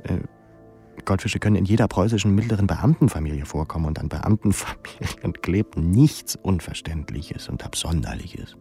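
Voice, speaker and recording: A man playing a brooding, ironic young pupil in an introspective radio drama monologue, musing and halting. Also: deep voice, creaky, warm tone, faint music behind. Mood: wry, puzzled. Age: young adult.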